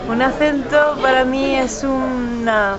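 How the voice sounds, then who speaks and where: A woman's voice: sits high, fairly even intonation, slow. A woman from Spain with a Spanish accent from Spain, explaining as an interviewee. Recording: muffled, clear crowd in public space.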